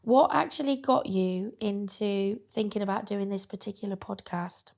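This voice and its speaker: A woman with a croaky voice interviewing a friend in a small studio, friendly and rambling with many ums. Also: mid-range voice, normal speed, a little mellow. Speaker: interviewer, podcaster. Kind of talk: casual interview chat.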